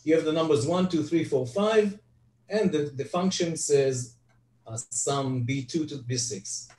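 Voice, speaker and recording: An older Hebrew-speaking man, giving an online spreadsheet lecture from home over a headset, halting with frequent restarts. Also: on the high side, a little mellow. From Israel. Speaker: guest presenter. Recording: echoey, distant video call audio.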